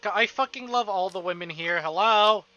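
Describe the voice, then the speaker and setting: A man reading a visual novel aloud on a live stream, with casual, excitable, foul-mouthed reactions. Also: high-pitched, moderate pace, muffled recording.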